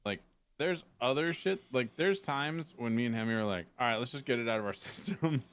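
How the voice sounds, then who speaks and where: A man's voice: medium pitch, brisk, fairly bright tone, clean tone. A man speaking as a podcast guest about game roleplay, casual, loose and sweary. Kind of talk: casual chat. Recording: faint background noise.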